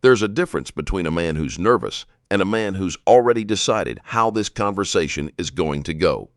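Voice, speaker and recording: A man reading scripted true crime narration in measured, dramatic short phrases. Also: low-pitched, animated, no echo.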